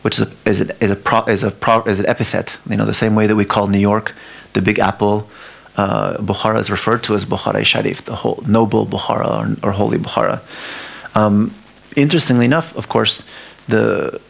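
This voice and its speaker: A man's voice: lowish voice, steady tone, creaky. A man whose first language is Farsi gives a talk about his art at a museum, often restarting his sentences as he thinks aloud. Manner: halting, restarts often, talks through ideas. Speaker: artist giving a talk. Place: museum lecture hall.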